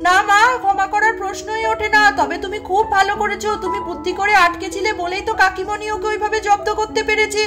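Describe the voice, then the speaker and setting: Woman playing a fussing, very high-pitched village mother. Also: level tone, fast, hardly any pauses, over loud music. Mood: firm, kindly. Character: mother, flustered but fair-minded village housewife. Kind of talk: doll-play storytelling.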